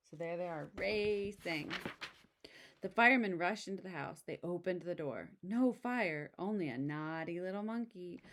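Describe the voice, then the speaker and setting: A woman reading a children's picture book aloud in an expressive way, with casual asides. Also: fairly low pitch. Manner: expressive, exclaiming story delivery. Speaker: storybook reader.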